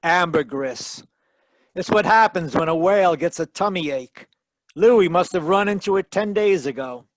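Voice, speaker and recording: An actor playing a wisecracking hard-boiled private detective in a 1940s radio style, with a wry, glib manner. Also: male voice, high voice, expressive, normal speed, no room sound.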